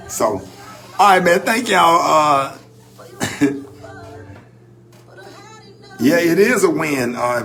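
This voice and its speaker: A man's voice: medium pitch, fairly bright tone. An older man with a Southern American accent hosting a radio morning show, talking casually and loosely about faith and grief. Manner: loose, laughing, drawn-out Southern phrasing. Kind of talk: casual radio banter and reflective talk.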